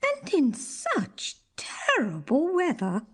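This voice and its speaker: A woman plays a fairy-tale queen, concerned and scheming. Her high voice swoops dramatically and moves slowly through each word. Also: wildly swooping pitch, fairly bright tone, exaggerated voice. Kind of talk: scripted children's story dialogue.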